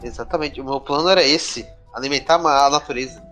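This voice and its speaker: A man's voice: mid-range voice, fast, bright, forceful tone, soft background music. A Brazilian man chatting casually with friends in a joking, informal way as a podcast co-host. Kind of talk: casual chat among friends.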